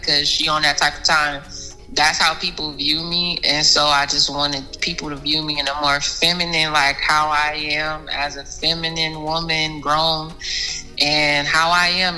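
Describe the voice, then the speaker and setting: Woman guest, low and very bright voice, animated storyteller. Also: rough recording. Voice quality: soothing voice. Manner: animated, restarts and repeats words, laughs often. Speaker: podcast guest, singer. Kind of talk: casual interview chat.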